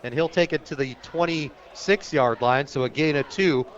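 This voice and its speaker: A man working as a radio sportscaster, calling high school football live in clipped, descriptive phrases that turn excited on big plays. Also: moderate pace, highs cut off, slight background noise. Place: outdoor stadium, live radio broadcast.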